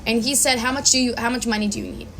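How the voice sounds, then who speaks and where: A woman's voice: on the high side, rapid-fire, fairly bright tone, slight background noise, low-quality recording. A woman speaking as a filmmaker guest in a casual interview, enthusiastic and informal, telling things through quoted dialogue.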